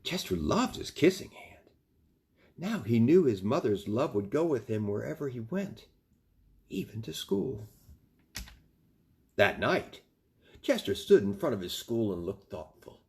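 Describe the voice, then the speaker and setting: Man, fifties, bedtime story reader, lively intonation, unhurried pace, grandfatherly role. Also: mid-range voice, creaky. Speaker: grandfather reading a children's book. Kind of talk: expressive bedtime story reading. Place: small home room, indoors.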